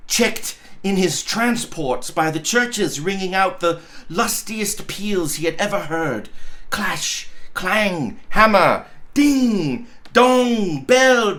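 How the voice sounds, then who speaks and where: A man narrates a classic storybook tale with theatrical emphasis. His voice is fairly high and bright, and he takes his time over the phrases with lots of melodic movement. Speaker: pastor reading a story aloud.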